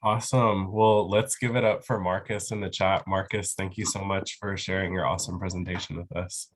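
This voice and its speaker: A man with a very deep voice hosts an online event. He moves things along like an upbeat emcee, bringing each presenter up in turn. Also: little pitch movement, a little fast. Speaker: event moderator. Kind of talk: hosting on a video call. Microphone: video call.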